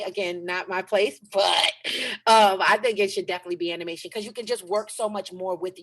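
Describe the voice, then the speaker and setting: A woman giving casual feedback to fellow cast members after a read-through. She rambles, piles on slang and praise, and circles back to her point more than once. Her voice sits at an ordinary middle pitch.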